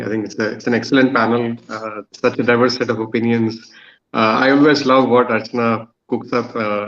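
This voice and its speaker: A man speaking as an employer on a podcast panel, in halting, stop-and-start phrases. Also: mid-range voice, a bit bright, lo-fi sound.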